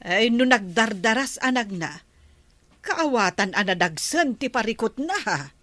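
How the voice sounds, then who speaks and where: A woman's voice: fairly high pitch, sing-song, a little slow, fairly bright tone, no echo. A woman playing an inquisitive, gossipy villager in a comedy drama, chatty and questioning. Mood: curious.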